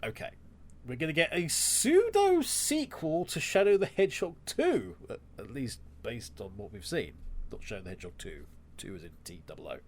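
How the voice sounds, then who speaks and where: A man's voice: mid-range voice, very animated, moderate pace. A man hosting a solo podcast, sounding nasal and congested from a cold, talking with sarcastic, wry asides. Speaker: podcast host.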